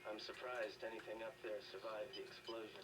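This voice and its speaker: A man's voice: on the low side, little pitch movement, a little fast, far from the mic, very noisy, natural voice. A man playing a mild, polite lab technician in a video game, speaking matter-of-factly. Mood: mild, informative.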